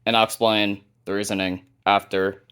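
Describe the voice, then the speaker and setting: A man's voice: on the low side, flat delivery, moderate pace, a bit bright, clear, resonant tone. A man hosting a hobby video, narrating a casual show-and-tell of a collection.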